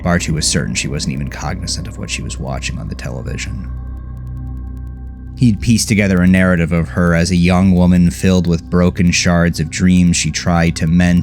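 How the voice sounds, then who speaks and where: A man narrating a scripted horror story in a measured, dramatic way, letting phrases trail off low. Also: darkish tone. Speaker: audiobook narrator.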